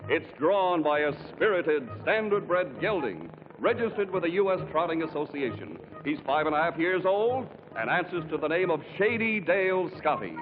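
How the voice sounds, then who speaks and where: An American man works as a game show announcer, his voice set high. He sells with emphatic polish over music, through a thin, tinny vintage broadcast sound. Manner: emphatic, polished salesman delivery.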